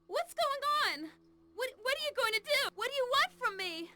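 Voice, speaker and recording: A woman's voice: very high-pitched, expressive, normal speed, heavy background noise. A young actress playing a frightened young woman pleading for her life in a video game, with a stammering delivery. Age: young adult. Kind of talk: dramatic video game voice acting.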